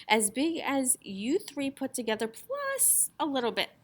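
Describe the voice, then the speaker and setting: Smug young brother voiced by a woman, storybook, emphatic, exclaiming, lifted pitch, very lively. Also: measured pace, heavily acted. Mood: mock warning. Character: rule-obsessed young boy, smug, bossy brother.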